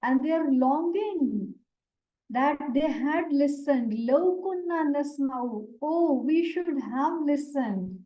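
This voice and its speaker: A woman whose first language is Urdu explains the meaning of Quran verses word by word, measured and pausing with fillers. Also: high voice, expressive, highs cut off. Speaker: Quran teacher.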